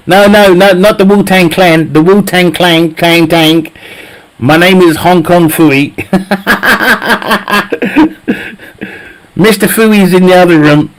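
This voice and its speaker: A man's voice: high voice, steady tone, drawn-out words, pauses often. A man chatting casually with his live stream viewers, swearing a lot and laughing often at his own jokes. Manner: profane, jokey banter, laughs often. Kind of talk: casual chat with viewers while gaming.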